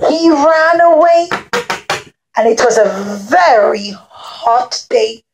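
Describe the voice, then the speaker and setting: A woman's voice: high-pitched, expressive, slow pace, noisy background. A woman storyteller presenting a puppet show for young children, speaking in simple short phrases and addressing them directly.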